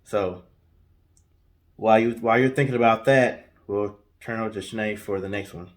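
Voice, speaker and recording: A man co-hosting a storytelling show, speaking casually and haltingly, often pausing mid-sentence. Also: lowish voice, faint music behind. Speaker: co-host telling stories in a guessing-game show.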